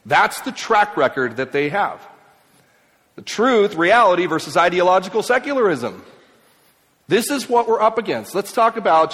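An emphatic man who grew up in Mexico and Ecuador addresses a live audience. His voice sits a little higher than most men's and swings from forceful to hushed. A long hall reverb trails each phrase. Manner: impassioned, emphatic, swings between loud and quiet. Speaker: speaker. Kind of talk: speech to an audience.

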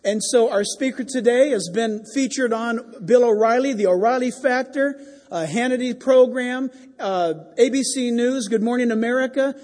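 A man warmly hypes up a guest speaker before a church service. His voice sits very high for a man, and he pauses on fillers. A long hall reverb trails every phrase. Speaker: church host introducing the speaker. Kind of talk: enthusiastic introduction. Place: church sanctuary.

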